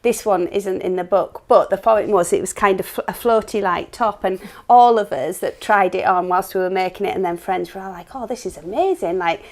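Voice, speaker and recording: Chatty woman with a creaky voice. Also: medium pitch, brisk, hardly any pauses. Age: 40s. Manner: chatty, often ends with tag questions. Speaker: co-author and workshop host. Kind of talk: casual live-stream chat.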